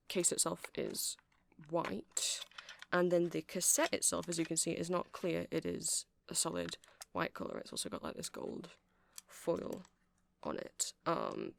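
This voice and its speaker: Nerdy vampire record-shop clerk played by a man, friendly and chatty, mid pitch with gravelly fry, ASMR roleplay with faint background noise. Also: fairly bright tone, full character voice. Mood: absorbed, nerdy. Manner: hesitant, chatty, nerdy explaining. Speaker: shopkeeper character in ASMR roleplay. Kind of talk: soft ASMR roleplay, improvised rambling.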